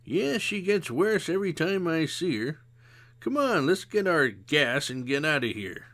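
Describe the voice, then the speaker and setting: A man voicing a calm, shrewd older woman who talks in a dry, knowing, gently scolding way. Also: on the high side, a little slow, pitched above the performer's own voice, full character voice.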